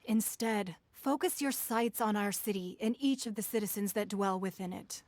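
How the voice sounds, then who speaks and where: An actress voicing a composed, dignified female leader in a game cutscene, formal and measured. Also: mid-range voice, darkish tone, no room sound, natural voice. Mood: solemn.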